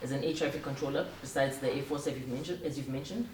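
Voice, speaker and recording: A woman's voice: very deep voice, fast, darkish tone. A woman hosting an interview in a conversational style, recorded in a roomy space away from the microphone. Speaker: interview host. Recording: roomy, distant mic, faint ambient noise.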